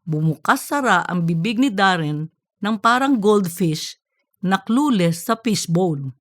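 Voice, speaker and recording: A woman's voice: medium pitch, expressive, unhurried, no echo, clean studio sound. A woman narrating a scripted comic audiobook story.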